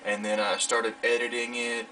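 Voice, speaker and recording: A young man in his late teens with a fairly low voice talks casually, like a vlogger chatting to viewers. He rambles in an informal, self-deprecating way. He sounds some distance from the mic, with music playing clearly behind him. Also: flat delivery, moderate pace, sharp tone. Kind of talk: casual vlog chat.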